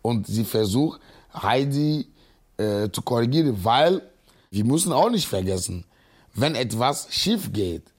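A man, telling his story slowly and hesitantly in an interview. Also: mid-range voice, lively intonation. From Togo. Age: 30s. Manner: hesitant, many fillers, non-native grammar. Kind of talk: slow, halting personal account.

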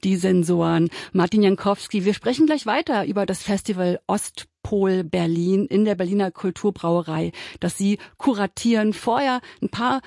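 Gravelly-voiced radio host. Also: medium pitch, normal speed, few pauses, a little mellow, no room sound, clean studio sound. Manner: composed questioning. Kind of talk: radio interview. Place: radio studio.

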